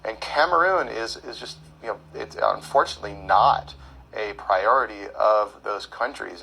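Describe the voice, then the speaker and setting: A man who hosts a podcast, guesting on a call-in show and answering callers in a measured, explanatory way with frequent fillers. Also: on the low side, vocal fry, fairly bright tone, slightly rough, poor audio.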